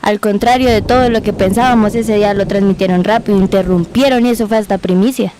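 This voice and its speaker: A woman's voice: medium pitch, fairly even intonation, fast, noisy background, close to an everyday voice. A woman playing a folksy Colombian grandmother who tells stories colloquially in an amateur radio drama. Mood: reminiscing. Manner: colloquial, storytelling. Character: folksy storytelling Colombian grandmother, rueful, fond of her grandchildren.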